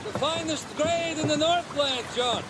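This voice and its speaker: A man playing an excitable, dreamy gold prospector in a radio drama, with colourful, exclamatory speech. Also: very high-pitched, measured pace. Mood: elated, dreamy. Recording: noisy outdoor river effects behind.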